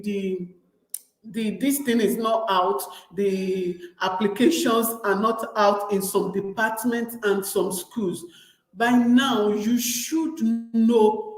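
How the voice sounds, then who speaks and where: A young Nigerian woman with a Nigerian accent speaks slowly and unhurriedly, at a middling pitch. Her talk is chatty and loose, full of repeats and restarts as she speaks right to her viewers. The sound carries a roomy echo. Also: darkish tone. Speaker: vlogger. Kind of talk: casual advice vlog.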